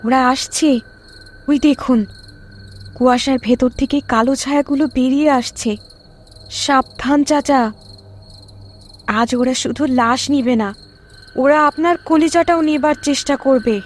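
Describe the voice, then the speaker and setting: A woman's voice: high-pitched, measured pace, long pauses, slight background noise. A woman playing the eerie ghost of a young village bride in a horror cartoon story, plaintive and dramatic. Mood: warning.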